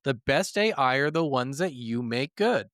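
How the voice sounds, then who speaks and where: A man with a medium-pitched, resonant voice gives his opinions in relaxed gaming podcast chat. He is blunt and skeptical and swears easily. The recording has almost no room sound. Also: moderate pace, no echo, clean studio sound.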